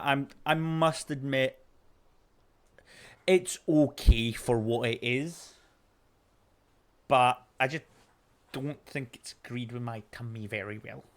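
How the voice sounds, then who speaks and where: A man's voice: medium pitch, steady tone, takes it slowly, pauses often, fairly bright tone. Scottish man chatting through a stream. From Scotland. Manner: chatty, reads chat aloud, coos at his puppy. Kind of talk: casual game stream chat.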